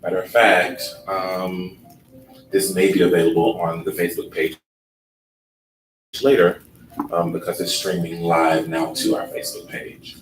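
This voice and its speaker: A man with a very low, sleepy voice leads a workshop at an easy, unhurried pace, with plenty of ums. He sounds relaxed and takes his time. He is recorded in a conference room from a distance, so the sound is roomy and carries background noise. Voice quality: sleepy, laid-back delivery. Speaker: workshop presenter. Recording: echoey room from far off with noise.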